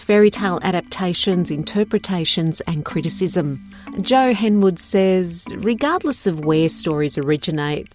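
Lower-pitched woman narrating. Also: a little mellow. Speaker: podcast presenter and reporter. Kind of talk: scripted documentary narration. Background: background music.

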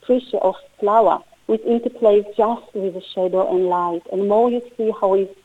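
A middle-aged woman from Israel with an Israeli accent, a native Hebrew speaker answering radio interview questions in halting English with restarts. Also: medium pitch, steady tone. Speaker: guest artist. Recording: tinny phone line.

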